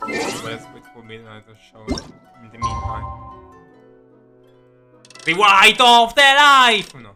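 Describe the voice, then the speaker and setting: A man's voice: high-pitched, wildly swooping pitch, slow, pauses often, sharp tone. A man streaming a game with casual live commentary, rambling, giggly and often repeating himself. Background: faint game music.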